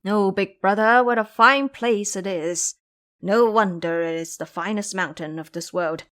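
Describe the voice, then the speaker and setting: A woman's voice: medium pitch, unhurried, a bit bright, lightly acted. A woman voicing a simple-minded, gluttonous male pig monk in an audio drama, earnest and whiny. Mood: delighted.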